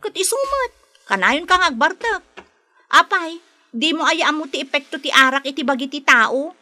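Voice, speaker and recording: An actress playing a frank, concerned younger sister in an Ilocano radio drama, speaking in an earnest, admonishing way. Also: female voice, sits high, animated, moderate pace, sharp tone, full character voice. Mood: scolding. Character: frank, concerned younger sister scolding her drinker brother.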